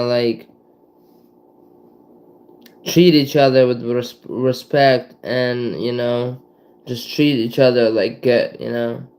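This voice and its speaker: A young man from Ukraine with a Ukrainian accent, chatting casually to viewers on a live stream, rambling with halting restarts and lots of "you know". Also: mid-range voice, a little mellow, low-quality recording. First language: Ukrainian and Russian.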